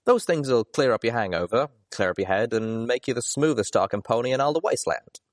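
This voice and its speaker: A man's voice: mid-range voice, lively intonation, brisk, no echo, natural voice. Casting: male reader as a folksy, easygoing storybook pony, teasing, close dry recording. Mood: folksy, persuasive. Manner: folksy, mock-spooky when teasing. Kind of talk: character voice in audiobook reading.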